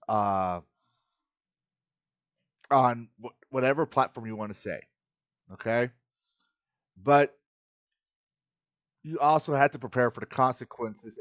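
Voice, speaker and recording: A man hosting a live game stream, talking earnestly and casually while greeting his chat. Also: mid-range voice. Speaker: livestream host.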